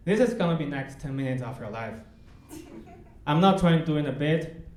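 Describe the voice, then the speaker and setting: A young man from China with a Chinese accent doing a self-mocking stand-up set on a comedy club stage. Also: fairly high pitch. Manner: deadpan, self-mocking, with pauses for laughs. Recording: echoey club with loud crowd noise. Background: audience laughter.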